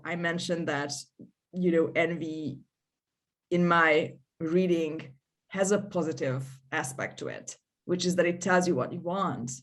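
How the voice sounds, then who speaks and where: A woman speaks in a slightly low voice. She co-hosts a relaxed discussion over a video call. She thinks out loud and often restarts her sentences. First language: Hungarian. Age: 30s. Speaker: co-host. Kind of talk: casual panel discussion.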